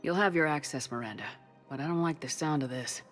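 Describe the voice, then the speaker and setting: An actress playing a tough, direct military commander in a video game, blunt and probing. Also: female voice, sits low, fairly quick, soft background music, lightly acted. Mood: firm, guarded.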